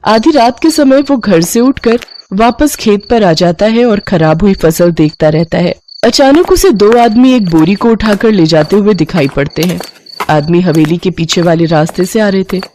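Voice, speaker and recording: A woman's voice: medium pitch, moderate pace, a little hiss or hum. Woman narrating a village story. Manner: steady storytelling.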